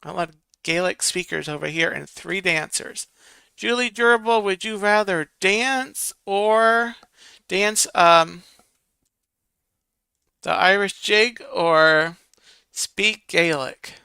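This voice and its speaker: A man's voice: sits high, takes it slowly, creaky, sharp tone, smooth, dry recording. A man hosting a casual live stream, reading viewer comments and counting votes aloud while muttering to himself.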